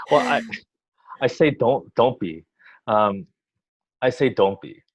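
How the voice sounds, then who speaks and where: A man, born in Taiwan, giving thoughtful interview answers with many pauses, restarts and ums. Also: mid-range voice, lively intonation, slightly rough. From Taiwan, raised in the US. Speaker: orchestra music director. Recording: dull lo-fi sound.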